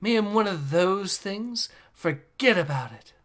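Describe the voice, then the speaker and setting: Guy reading hesitant young pilot, casual delivery, bright tone. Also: high-pitched, animated, unhurried, pitched above the performer's own voice. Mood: reluctant.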